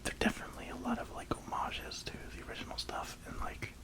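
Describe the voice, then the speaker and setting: A reviewer whispering an enthusiastic, rambling ASMR film review in a breathy, unvoiced voice. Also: quick pace. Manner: enthusiastic, rambling, emphatic repetition.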